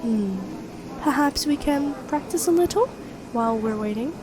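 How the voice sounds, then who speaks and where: A woman playing a sweet, supportive young best friend with a secret crush, speaking gently and earnestly in an intimate audio roleplay. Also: sits high, expressive, moderate pace. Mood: supportive, thoughtful. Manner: gentle, earnest, encouraging, conversational with soft hesitations. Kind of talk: intimate roleplay audio acting, one-sided dialogue. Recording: cafe chatter behind the voice. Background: coffee shop crowd ambience.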